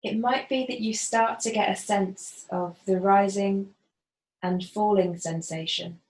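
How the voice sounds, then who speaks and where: A woman gently guiding a body scan as a yoga facilitator, unhurried and leaving pauses. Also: mid-range voice, steady tone. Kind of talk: guided body scan meditation instruction. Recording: roomy, echoing voice.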